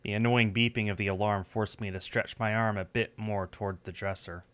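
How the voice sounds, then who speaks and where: A man's voice: on the low side, fairly even intonation, a little fast, fairly bright tone. A man hosting a game livestream, chatting casually and playfully and reading game text aloud. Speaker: game streamer, host.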